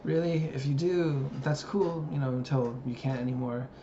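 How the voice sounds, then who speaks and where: A man's voice: medium pitch, normal speed, dark tone. A man in his thirties thinking aloud in a casual personal vlog, hesitant and musing, with frequent fillers. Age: 30s. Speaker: vlogger. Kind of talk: reflective personal monologue. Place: living in Japan. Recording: dull lo-fi audio with background noise.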